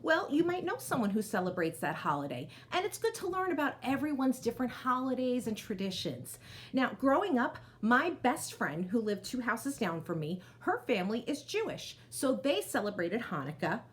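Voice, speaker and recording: Animated, higher-pitched woman reading to children. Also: normal speed. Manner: expressive, interactive, addressing children directly. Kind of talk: children's read-aloud with chatty asides.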